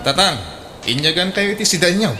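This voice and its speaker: An actor with an Ilocano accent playing a dutiful, cheerful son-in-law in a comedic radio drama, eager and respectful. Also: male voice, high voice, brisk, music in the background, lightly acted.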